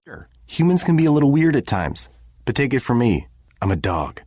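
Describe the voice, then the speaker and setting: A man's voice: mid-range voice, sing-song, a little fast, a little mellow, no room sound, clean studio sound, soft background music. A man voicing an easygoing, wry shelter dog in a public service ad. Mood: wry, friendly.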